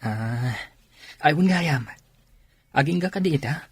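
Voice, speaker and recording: A man acting a hopeful but uneasy young suitor with a raspy voice in a radio drama. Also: fairly high pitch, lively intonation, unhurried, no room sound. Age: young adult. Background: faint music.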